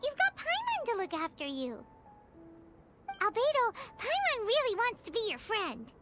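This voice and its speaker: A woman voicing a tiny, excitable, childlike fairy companion girl in an anime-style video game. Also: normal speed, exaggerated voice. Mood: earnest, consoling. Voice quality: squeaky, childlike. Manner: exclaiming, speaks of herself in third person. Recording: loud music behind the voice.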